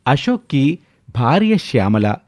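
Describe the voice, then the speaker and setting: A man's voice: mid-range voice, sing-song, slow pace, a little mellow, dry recording. A man narrating a scripted moral story as a storyteller.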